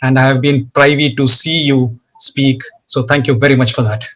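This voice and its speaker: A man's voice: mid-range voice, steady tone, moderate pace, fairly bright tone. A man interviewing a guest, asking questions and paying compliments in a courteous, formal way.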